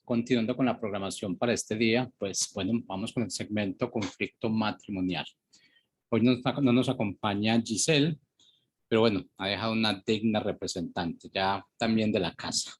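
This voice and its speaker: A man's voice: lowish voice, normal speed, slightly rough. A man hosting a radio segment in a conversational presenting style. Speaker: radio host.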